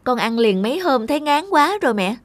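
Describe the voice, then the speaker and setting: A woman's voice: high voice, very animated, a little fast, no room sound, studio quality. A woman playing an anxious, exasperated young new mother in a cartoon, plaintive and scolding. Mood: gently chiding. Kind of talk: cartoon dialogue.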